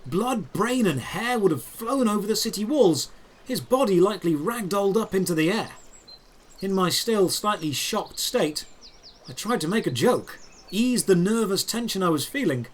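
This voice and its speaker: A man narrating a scripted first-person fantasy adventure in a dramatic, deliberate, descriptive way. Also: sits high, expressive, slight background noise.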